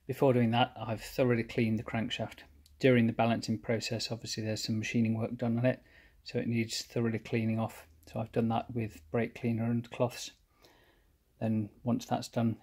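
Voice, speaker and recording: A man calmly explaining his engine rebuild step by step while he works at a cluttered workshop bench. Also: mid-range voice, gravelly, slightly rough. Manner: methodical, plain explanatory, pauses mid-phrase. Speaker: home mechanic rebuilding an engine. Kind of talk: hands-on workshop walkthrough.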